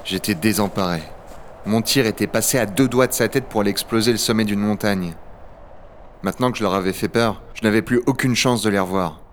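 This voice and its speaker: An actor playing a gruff, impatient but well-meaning dragon hunter in a French sci-fi audio drama, speaking in blunt colloquial language. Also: male voice, lowish voice, a little fast, a little hiss or hum, lightly acted. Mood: weary, reflective. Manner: colloquial, swearing, matter-of-fact. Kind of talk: French science-fiction audio drama with first-person narration. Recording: music behind the voice.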